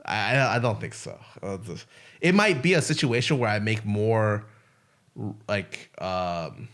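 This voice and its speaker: A man's voice: lowish voice, fairly bright tone. A man co-hosting a podcast, chatting casually and frankly and swearing freely. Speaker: podcast co-host.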